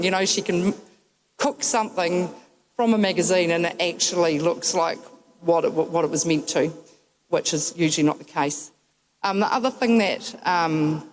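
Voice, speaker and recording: A woman's voice: lowish voice, poor audio. A woman with a New Zealand accent giving a memorial tribute, telling wry, humorous anecdotes with frequent ums. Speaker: eulogy speaker.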